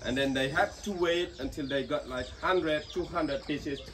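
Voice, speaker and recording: A man's voice: fairly high pitch, moderate pace, a bit bright. Chatty Indonesian-accented man guiding tourists. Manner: casual, chatty, apologetic, joking. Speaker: local tour guide. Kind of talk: guiding tourists, explaining a craft. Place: outdoors in a pottery village. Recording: distant outdoor camera sound, rooster noise. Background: roosters and village ambience.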